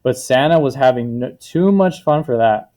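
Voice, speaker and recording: A man with a mid-pitched voice reads a children's picture book aloud as a teacher. He explains words in simple terms and often turns to the class with questions to check that they follow. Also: expressive, moderate pace, mellow.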